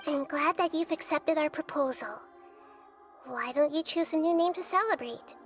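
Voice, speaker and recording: A woman voicing a gentle, childlike little deity in a video game, speaking in a measured, thoughtful and reassuring way. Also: high-pitched, soft background music, close to an everyday voice. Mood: kindly. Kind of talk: video game cutscene acting.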